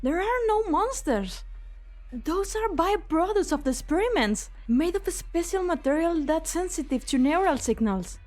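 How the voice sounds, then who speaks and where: A woman's voice: very high voice, expressive, moderate pace, darkish tone. A woman voicing an earnest, anxious, trusting young doctor in a game fan dub. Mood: insistent.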